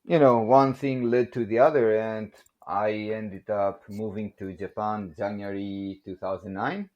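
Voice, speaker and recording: A man's voice: fairly low pitch, fairly even intonation, measured pace, darkish tone, lo-fi sound. Greek-accented man telling a story. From Greece. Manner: chatty and jokey, laughs often, filler "you know". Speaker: guest, barbell company production manager. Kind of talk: casual podcast storytelling.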